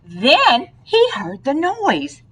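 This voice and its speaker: Very slow, animated woman reading aloud. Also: sits high. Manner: expressive, prompting with questions. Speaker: read-aloud storyteller to a young child. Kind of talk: children's picture book read-aloud.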